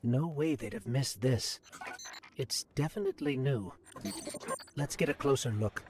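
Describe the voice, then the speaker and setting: An actor voicing a small robotic companion in a video game, curious, earnest and conversational. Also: male voice, mid-range voice, a little slow, background noise, close to an everyday voice. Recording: music under the dialogue. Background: game music.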